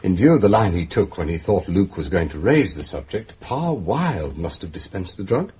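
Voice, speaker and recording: Husky, lilting English gentleman detective. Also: deep voice, big pitch swings, normal speed, full character voice. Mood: musing, knowing. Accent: educated English. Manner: musing, understated, donnish. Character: Albert Campion, urbane, dryly amused gentleman detective. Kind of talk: British radio drama acting. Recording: dull, muffled radio recording.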